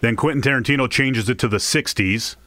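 A man's voice: medium pitch, a little fast, a bit bright, no room sound, studio quality. A man co-hosting a show as a film reviewer, talking in a conversational, opinionated way. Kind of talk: casual movie chat.

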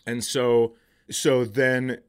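A man's voice: medium pitch, drawn-out words, smooth. A middle-aged man, a comedian podcast host, telling stories casually in a profane way and acting out what people said. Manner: profane, animated retelling with acted-out quotes. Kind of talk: casual comic storytelling.

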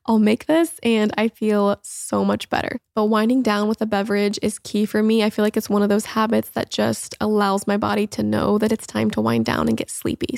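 A woman's voice: mid-range voice, vocal fry, darkish tone, no echo, clean studio sound. A young woman hosting a solo wellness podcast, chatty and upbeat as she walks through recipes and habits, a little congested. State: slightly congested. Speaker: podcast host.

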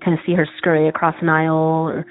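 A woman's voice: low voice, monotone, fast, soft background music. A woman from Arizona leaving a voicemail for a podcast, telling a story in a chatty, hesitant way. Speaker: caller. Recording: tinny phone line.

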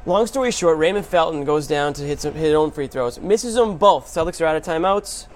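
A man hosting a sports show, recapping basketball in a casual, animated storytelling way with emphatic short phrases. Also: a little high, fairly quick, a little hiss or hum.

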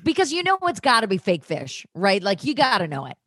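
A woman's voice: mid-range voice, wildly swooping pitch, a little fast, sharp tone, breathy edge. A young woman guest on a podcast, chatting in a playful, rambling way and giggling often. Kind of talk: casual podcast chat.

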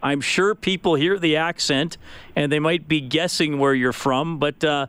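A man's voice: a little high, steady tone, a little slow, noisy background. A man hosting a sports radio interview, often repeating words as he asks questions. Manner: stammers and repeats words while asking questions.

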